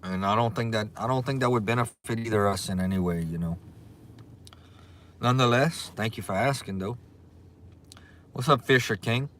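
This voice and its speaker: A man with a thick Cajun accent hosts a laid-back livestream, chatting easily and answering viewers as their comments roll in. His voice sits a little low for a man, loose and unhurried, with a friendly Southern drawl. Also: slight background noise. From Louisiana. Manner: relaxed, chatty, reads and greets viewers' comments. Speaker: livestream host. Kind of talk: casual livestream chat.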